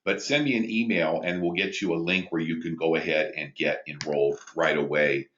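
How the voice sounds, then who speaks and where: A man with a big booming voice and a very low pitch leads a business training call. He speaks from a small studio that sounds roomy and a little dull. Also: steady tone, fairly quick, a bit bright, a little hiss or hum. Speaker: host reading viewer questions. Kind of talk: live webinar training talk.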